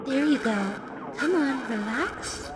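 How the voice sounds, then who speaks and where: A playful yet menacing animatronic fox girl, acted by a woman in an audio roleplay. The voice is high and giggly, teasing its prey in a slow sing-song. Heavy noise sits behind it. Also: lively intonation. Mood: coaxing.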